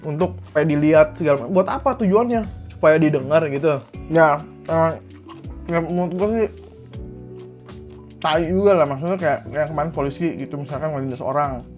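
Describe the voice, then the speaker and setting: A man's voice: a little high, fairly quick, long pauses, darkish tone, soft background music. A young man from Indonesia, ranting angrily to camera as a vlogger, blunt and swearing often. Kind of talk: heated commentary to camera.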